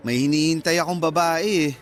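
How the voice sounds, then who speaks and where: A man's voice: fairly high pitch, steady tone, fairly quick, faint music behind, close to an everyday voice. A man playing a modest, good-natured young man in a Filipino radio drama, friendly and lightly teasing. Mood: modest, amused.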